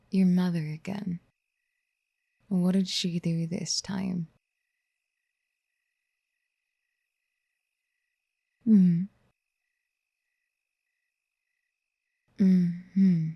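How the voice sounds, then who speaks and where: A woman acting a doting, possessive motherly figure in a soft-spoken ASMR roleplay, soothing with breathy half-whispers. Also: lowish voice, no echo, full character voice. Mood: tender concern.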